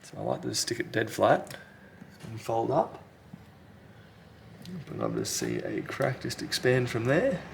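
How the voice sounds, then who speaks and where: A man's voice: mid-range voice, huge pitch swings, unhurried, creaky, long pauses, a little hiss or hum. A man talking casually and thinking aloud as he presents a hands-on repair tutorial. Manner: unscripted, thinking aloud while working. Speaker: repair technician, video host. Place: indoors at a repair workbench.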